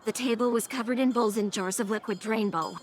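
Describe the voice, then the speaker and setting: A woman's voice: a little high, a little fast. A synthetic text-to-speech woman's voice narrating a scripted story. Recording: faint background noise.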